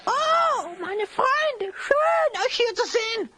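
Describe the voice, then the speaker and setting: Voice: very high-pitched, lively intonation, takes it slowly, pushed and bright, slight background noise, exaggerated voice. An actor voicing a sly, falsely friendly hyena spy in singsong rhyme for a children's audio drama. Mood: falsely welcoming. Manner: cackling, singsong theatrical rhyme.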